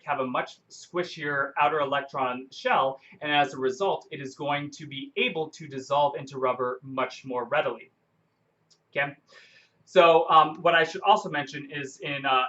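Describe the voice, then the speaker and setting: Guy teaching science unscripted, kinda high forceful voice, lots of self-corrections, bit lo-fi. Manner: halting, self-correcting explanations. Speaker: science lecturer. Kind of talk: unscripted explanatory science lecture.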